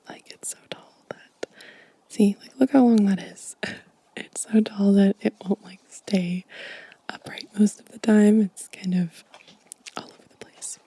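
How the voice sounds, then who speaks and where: Woman, ASMR whisper, breathy and husky, slow unhurried pace, gentle, intimate. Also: medium pitch. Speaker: host of an ASMR channel. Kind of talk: soft-spoken, whispered ASMR show and tell. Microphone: close ASMR microphone.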